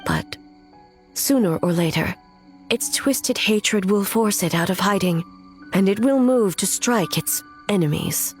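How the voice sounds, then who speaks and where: Game role: female shogun, mid-pitched, grave and formal, measured delivery actress performing, music underneath. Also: animated, lightly acted. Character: solemn, resolute female shogun, ancient warrior ruler. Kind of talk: video game cutscene acting.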